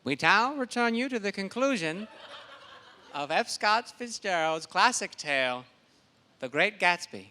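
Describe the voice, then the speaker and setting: A woman's voice: mid-range voice, sing-song, a little slow, noisy background. A woman playing a formal old-time radio announcer, with a presentational delivery. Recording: loud music behind.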